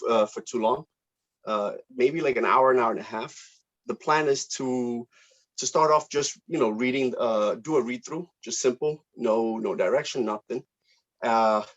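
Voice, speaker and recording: Give this voice a man of middling pitch leading a casual meeting, polite and a bit hesitant as he organizes things. The sound is dull and lo-fi, as on a video call. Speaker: film director leading a table read. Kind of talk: casual informal meeting talk.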